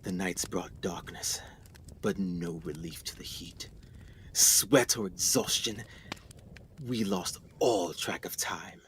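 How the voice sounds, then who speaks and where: An actor playing a 1920s explorer in a radio play, speaking in a deliberate, formal, dramatic way. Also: male voice, low voice, fairly bright tone, slight background noise. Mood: weary, grave. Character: measured 1920s explorer telling his jungle tale.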